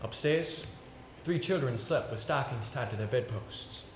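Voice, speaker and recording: An actor playing a pompous, exasperated theatre coach in an amateur stage play, with a sarcastic, emphatic delivery. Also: male voice, mid-range voice, lively intonation, measured pace, natural voice. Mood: measured storytelling. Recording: roomy stage recording with faint noise.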